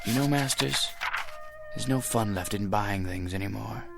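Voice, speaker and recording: An actor playing a wealthy middle-aged businessman who is tired of his money, with a gravelly, wistful way of talking in a radio drama. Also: fairly low pitch, moderate pace, soft background music. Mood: weary. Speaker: wealthy businessman George Hanley.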